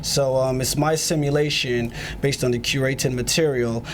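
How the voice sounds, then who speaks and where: A British man, a guest on a TV interview, talking reflectively and pausing often to find his words. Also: mid-range voice, steady tone, background noise. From Britain. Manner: reflective, searching for words, many fillers. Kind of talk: TV studio interview.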